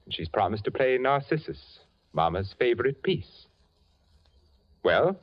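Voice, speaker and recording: A man's voice: medium pitch, big pitch swings, a little slow. An actor playing an eccentric rich widowed father in a 1940s radio drama, genteel and nervously insistent. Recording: dull lo-fi 1940s radio broadcast.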